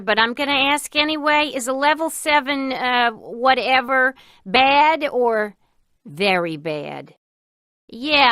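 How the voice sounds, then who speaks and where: An actress voicing a wisecracking, world-weary female office receptionist in a video game, with a deadpan, sarcastic delivery. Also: a little high, no room sound, clean studio sound, exaggerated voice. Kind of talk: scripted video game dialogue.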